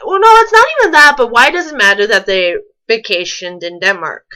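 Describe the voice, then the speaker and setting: A woman's voice: mid-range voice, animated, fairly quick, sharp tone. A woman reading fan fiction aloud with sarcastic, incredulous casual commentary, stumbling over the text now and then.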